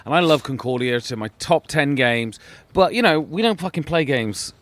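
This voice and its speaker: A man's voice: a little high, lively intonation, moderate pace, fairly bright tone. A man hosting a podcast in casual chat, full of profane, jokey banter. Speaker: podcast host.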